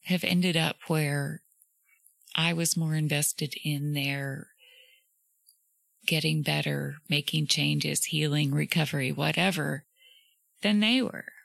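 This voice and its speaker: A woman hosting a reflective solo podcast, talking gently with a soothing voice and frequent fillers. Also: sits low, fairly even intonation, slow, vocal fry, pauses often, dry recording. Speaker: podcast host.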